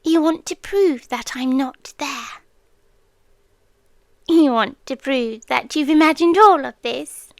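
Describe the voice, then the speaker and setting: A British woman playing an obsessive, teasing yandere girlfriend in a whispered, breathy ASMR roleplay with long pauses. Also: high-pitched, no echo, studio quality, exaggerated voice. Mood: eerie, teasing.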